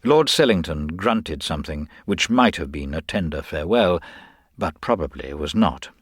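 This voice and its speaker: A man narrating a scripted story in a wry, measured way. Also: extremely low pitch, lively intonation, breathy edge, no echo, studio quality.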